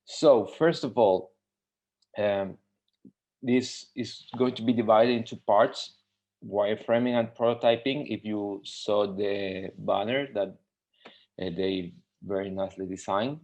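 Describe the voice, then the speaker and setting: A man's voice: lowish voice, measured pace, a little mellow. A man from Mexico, a UX designer, teaching a live webinar tutorial with many fillers and restarts. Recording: dull lo-fi webinar audio.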